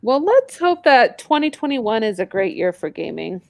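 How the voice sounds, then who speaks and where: A woman's voice: a little high, sing-song, normal speed, creaky, no room sound. Irreverent woman, dry close recording. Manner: irreverent, blunt. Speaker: podcast co-host. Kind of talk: casual podcast banter.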